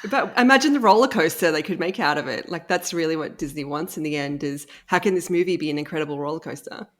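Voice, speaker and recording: A woman's voice: low-pitched, fast. A woman chatting casually as a podcast guest in a jokey, informal way. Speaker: podcast guest, audio drama co-creator. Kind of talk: casual chat.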